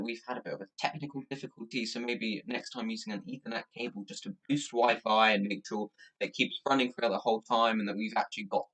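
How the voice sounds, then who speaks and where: A young man from Latvia, a native Russian speaker, chatting casually as a livestream host in a rambling, halting way. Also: lowish voice, a bit bright, poor audio. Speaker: livestream host and youth charity campaigner. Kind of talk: casual livestream chat, thanking viewers.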